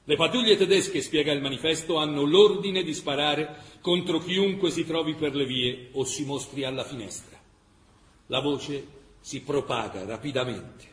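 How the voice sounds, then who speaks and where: A male actor playing a grave chronicler of a besieged wartime city in a radio drama, measured and emphatic with dramatic pauses. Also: a little high, fairly bright tone, natural voice. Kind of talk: dramatic scripted documentary narration. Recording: roomy, echoing radio theatre sound.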